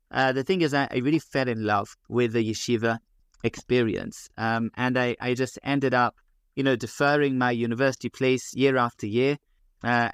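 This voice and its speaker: Thoughtful London-born man, smooth voice. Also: medium pitch, no echo, clean studio sound. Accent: London English. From England. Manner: measured, many fillers and restarts. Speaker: guest rabbi. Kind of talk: podcast interview.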